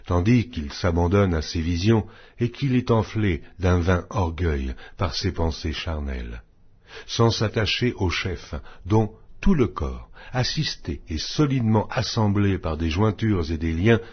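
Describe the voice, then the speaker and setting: A man reads scripture aloud as an audiobook narrator, with a low-pitched and somewhat rough, husky voice. He goes slowly and solemnly, setting out each phrase in a measured, declamatory manner. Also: animated. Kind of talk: solemn scripted Bible reading. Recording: dull, muffled lo-fi audio.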